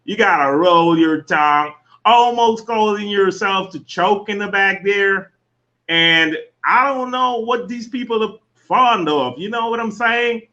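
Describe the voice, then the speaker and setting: A man's voice: high voice, a little slow, pushed and bright. A man telling jokes as a guest on a live talk show, laughing often and saying "you know" a lot. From Trinidad. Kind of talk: casual chat, comic storytelling. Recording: dull lo-fi livestream audio.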